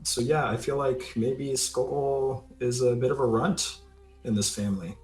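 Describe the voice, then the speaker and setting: A man talks casually as a player in a tabletop role-playing game, working out his character aloud. His pitch stays quite flat, and the voice sounds roomy and echoing over faint music. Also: unhurried. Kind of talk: casual improvised character discussion. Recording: roomy echo on the voice.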